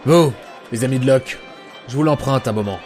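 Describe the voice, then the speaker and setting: An actor playing a gruff, weary but principled adventurer in an audio drama, grumbling and muttering to himself. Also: male voice, mid-range voice, fairly quick, darkish tone, faint music behind, close to an everyday voice. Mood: forceful. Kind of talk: audio drama acting with inner monologue.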